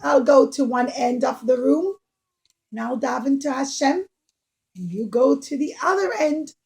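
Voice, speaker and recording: A woman voicing a gentle, devout husband puppet in a children's show, speaking slowly and earnestly. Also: high-pitched, lively intonation, creaky, full character voice. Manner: slow, earnest.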